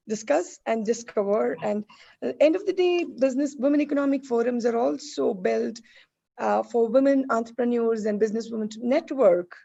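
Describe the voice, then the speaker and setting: A woman hosts an online panel with effusive politeness. Her voice is fairly high and mellow, with plenty of creak. The sound is slightly dull, like a video call. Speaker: panel host. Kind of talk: hosting an online panel discussion.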